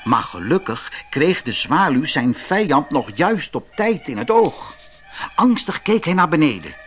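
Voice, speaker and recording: A man narrating a scripted fairy tale audiobook in an expressive, dramatic storytelling manner. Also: on the high side, a little slow, heavy background noise.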